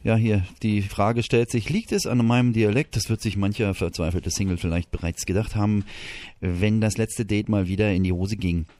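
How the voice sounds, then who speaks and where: Deep-voiced chatty radio host man. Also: steady tone, normal speed, few pauses, a little mellow, no echo. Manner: chatty, teasing, reads out items with asides. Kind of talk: casual radio banter, reading news items.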